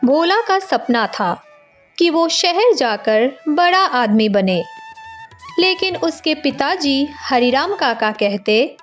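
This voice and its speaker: A woman's voice: high-pitched, expressive, slow, faint music behind. A woman narrating a scripted village story as a storyteller.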